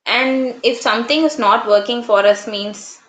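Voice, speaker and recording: A woman's voice: fairly high pitch, measured pace, a bit bright. A woman from Tamil Nadu in her thirties, a native Tamil speaker with a Tamil Indian English accent, chatting earnestly about her life as an English trainer. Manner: earnest, fillers and restarts, reflective. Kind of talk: casual conversation practice. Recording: roomy echo.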